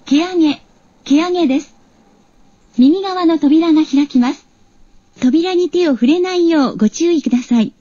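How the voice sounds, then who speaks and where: A woman delivers scripted station announcements in a high-pitched, softly rounded voice. She is formal and polite, and the recording sounds dull. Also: a little slow, long pauses. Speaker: automated train announcer.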